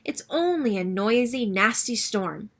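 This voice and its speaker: A woman's voice: fairly high pitch, lively intonation, a little slow. A woman voicing a male owl in a children's story, a bossy but reassuring planner who speaks in an emphatic, instructive way. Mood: calming.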